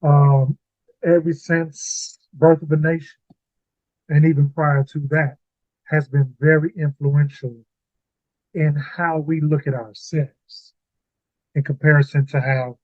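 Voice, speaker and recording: A man's voice: a little high, little pitch movement, takes it slowly, long pauses, dry recording, lo-fi sound. A man hosting a Bible read-along in casual, informal short phrases, heard through a thin home stream mic. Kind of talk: casual conversational discussion.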